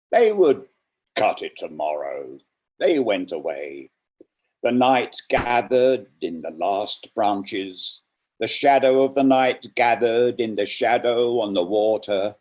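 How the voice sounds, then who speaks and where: A man presenting and reading poetry aloud in a deliberate way, with informal introductions. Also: mid-range voice, darkish tone.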